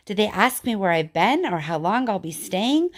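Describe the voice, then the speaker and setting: A middle-aged woman, a teacher, reading children's poetry aloud and chatting informally about it in an expressive way. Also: mid-range voice, moderate pace. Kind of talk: read-aloud of children's poetry with casual commentary.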